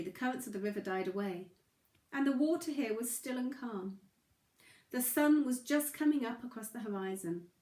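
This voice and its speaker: A woman reading a children's story aloud in an expressive, dramatic way. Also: on the high side. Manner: animated, dramatic. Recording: echoey room.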